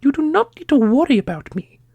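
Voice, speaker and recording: A man voicing an ancient, motherly otherworldly custodian who speaks gently and formally, guarded and grieving, in a fantasy audio roleplay. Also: extremely high pitch, sing-song, fairly quick, vocal fry, no echo, dull audio, voice pushed up, heavily acted. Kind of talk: ASMR fantasy roleplay acting.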